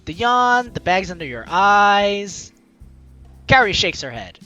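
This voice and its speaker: A young adult let's play host with a bright, forceful voice that swings widely in pitch. The delivery is playful and theatrical, moving between commentary and reading lines aloud, with faint game music underneath. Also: sing-song, takes it slowly, lo-fi sound. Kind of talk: casual gameplay commentary with dramatic reading of dialogue.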